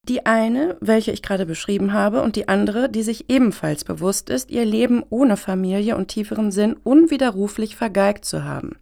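A woman's voice: medium pitch, moderate pace, gravelly, hardly any pauses, warm tone, lightly acted. An actress playing an earnest, self-important middle-aged woman activist in a satirical radio play, speaking formally and wordily. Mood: indignant.

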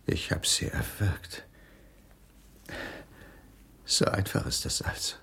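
An obsessive, embittered husband in a crime radio play. His voice is deep and creaky and swings widely in pitch, with dramatic intensity. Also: lively intonation, slow, a bit bright, heavily acted. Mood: numb, cold. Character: bitter, obsessive husband turned strangler in a crime story. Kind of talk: 1980s German radio crime drama acting.

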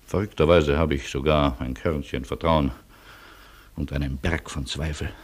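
An eloquent refugee in a radio play, speaking in a deep register. His delivery is husky, polite and drily ironic, with elaborate phrasing. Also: fairly even intonation, normal speed. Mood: resigned, wry. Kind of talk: German radio play acting.

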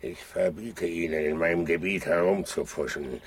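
A man's voice: low voice, slightly rough. A man playing a proud, blustering lion king in a children's radio play, pompous and haughty in manner. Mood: haughty, indignant. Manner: pompous, haughty, drawn-out roaring declamation.

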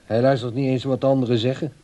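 A very mellow, dark-voiced man of medium pitch an actor playing a grumbling, self-absorbed husband in a radio play. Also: level tone, quick pace, close to an everyday voice. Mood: grumbling, distracted. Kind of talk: Dutch radio play acting.

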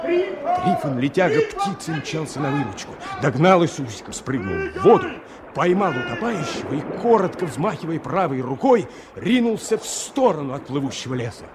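A man's voice: fairly high pitch, huge pitch swings, normal speed, very noisy. A man acting as the storyteller of a radio drama, delivering scripted narration with dramatic feeling. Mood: urgent. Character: dramatic male storyteller of river adventure.

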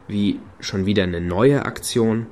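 A concerned, doubting friend played by a man in a radio drama. His voice is fairly low and the delivery is conversational. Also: measured pace, a little mellow, slight background noise, close to an everyday voice. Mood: worried, reproachful. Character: concerned, skeptical male friend. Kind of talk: radio play dialogue.

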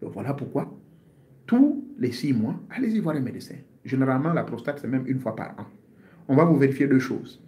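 A man's voice: medium pitch, very animated, fairly quick, warm tone. A man in his fifties, a martial artist, giving health advice to his viewers in an earnest, informal monologue, often repeating himself and searching for words. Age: 50s.

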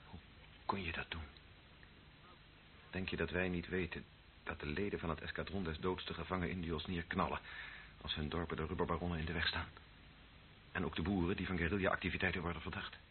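An actor playing an earnest, troubled clergyman in a radio drama, speaking gravely and haltingly as he pleads. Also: low voice, flat delivery, natural voice. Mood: horrified. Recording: narrow phone-like band, hissy old recording.

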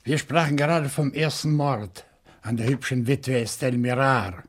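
An actor playing a bookish, lonely older French bachelor in a German radio crime play, probing and deliberate in manner. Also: male voice, mid-range voice, lightly acted. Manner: deliberate, thoughtful, with hesitant pauses.

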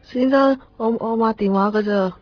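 Woman doing a nervy odd young phone cleaner, hong kong cantonese radio drama, kinda high, muffled, faint music. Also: normal speed, natural voice. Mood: polite, hesitant. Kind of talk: 1990s radio drama acting.

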